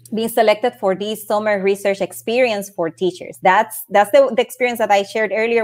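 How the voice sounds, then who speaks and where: Puerto Rican woman on a video call. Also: mid-range voice. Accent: Puerto Rican Spanish. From Puerto Rico. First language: Spanish. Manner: earnest, many restarts and repeated words. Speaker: panel guest, space project manager. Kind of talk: personal career story on a panel. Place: home office, indoors.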